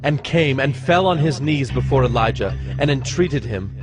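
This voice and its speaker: A man narrating scripture from a script in a measured, formal manner. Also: mid-range voice, lively intonation, over music.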